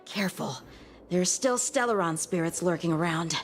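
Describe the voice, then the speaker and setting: A woman playing a lively, earnest young military trainee in a video game, chatty and expressive. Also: fairly low pitch, normal speed, over music, natural voice. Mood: alert, instructive. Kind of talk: scripted video game voice acting.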